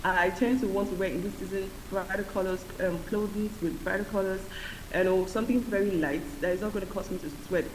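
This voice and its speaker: A woman's voice: medium pitch, fairly even intonation, fairly quick, a little mellow. A woman speaking earnestly at a conference panel, explaining her point with many fillers. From Nigeria. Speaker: community respondent. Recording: echoey hall with hiss.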